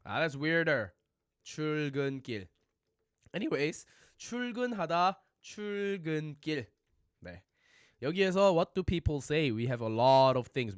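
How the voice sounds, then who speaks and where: A man's voice: mid-range voice, clean tone, dry recording, studio quality. A man teaching a casual livestream lesson, chatting with viewers and repeating phrases for learners. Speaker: Korean language teacher.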